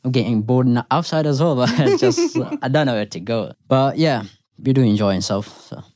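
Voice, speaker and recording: A man's voice: mid-range voice, fairly quick, darkish tone. A young man from Afghanistan whose first language is Pashto, chatting casually and jokingly in broken English. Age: young adult. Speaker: youth group member. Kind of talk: casual chat.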